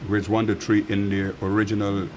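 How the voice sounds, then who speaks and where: A man with a lowish voice hosts the interview, murmuring short agreements and then posing questions. A faint hum runs in the background. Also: little pitch movement, brisk. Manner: gives frequent short backchannels, asks questions. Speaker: interview host. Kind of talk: studio interview.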